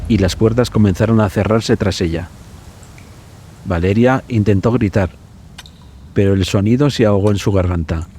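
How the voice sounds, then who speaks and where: Low, mellow male horror narrator. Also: normal speed, long pauses, a little hiss or hum. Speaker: story narrator.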